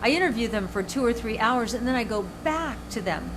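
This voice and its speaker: Animated older woman host, emphatic. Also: a little high, brisk, background noise. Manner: animated, emphatic storytelling with restarts. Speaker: show host and author. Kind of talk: casual chat.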